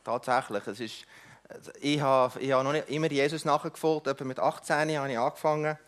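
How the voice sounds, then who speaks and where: A middle-aged man whose first language is not German gives an earnest church talk about mission, halting often with restarts and fillers. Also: mid-range voice, fairly even intonation, a bit bright. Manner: earnest, inviting. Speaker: mission speaker.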